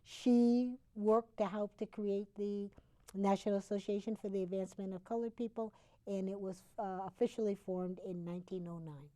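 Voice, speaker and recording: A woman from Connecticut, a talk show guest, explaining history in a halting way with many fillers and self-corrections. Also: mid-range voice, steady tone, mellow, poor audio.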